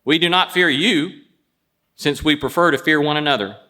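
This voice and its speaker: A man hosting a show, telling prepared stories and introductions in a wry, deliberate way. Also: on the high side, sharp tone. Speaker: show host introducing a guest. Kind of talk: prepared storytelling introduction before an audience.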